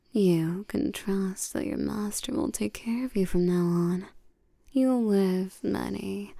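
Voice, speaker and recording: A woman playing a seductive, commanding vampire queen in an intimate roleplay audio, soft and breathy, teasing and condescending, often sinking toward a whisper. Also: mid-range voice, no echo, studio quality. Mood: possessive, soothing. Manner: slow, teasing, domineering, with terms of endearment.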